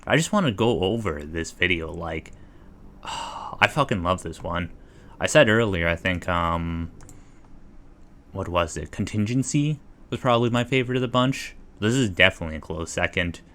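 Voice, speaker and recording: A man with a low voice talks casually to a livestream, reacting as he goes. He rambles and hesitates, thinking out loud as he searches for the right words. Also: animated, normal speed.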